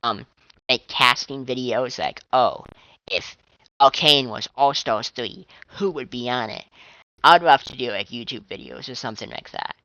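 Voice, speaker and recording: A young man with a high, strained and tired voice, rambling casually as a fan commentator with restarts and swearing. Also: animated, unhurried, bright, forceful tone, no room sound. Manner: chatty, swearing, trailing restarts. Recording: dull lo-fi dry mic.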